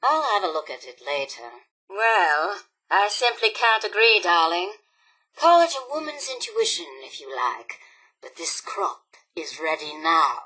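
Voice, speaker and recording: A woman's voice: lowish voice, big pitch swings, unhurried, bright, forceful tone, breathy edge, full character voice, poor audio. A woman voicing a glamorous, haughty female robot supervisor in a video game, with a grand, affected theatrical drawl. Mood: bossy, particular. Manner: grand, affected diva drawl, calls everyone darling.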